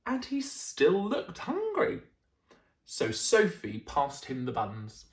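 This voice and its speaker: A man reads a picture book to children in a highly varied, expressive voice. He stresses key words dramatically and adds little asides to his listeners. The room gives the sound an audible echo. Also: slow. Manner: animated, dramatic emphasis with friendly asides to listeners. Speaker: storyteller reading bedtime stories to children.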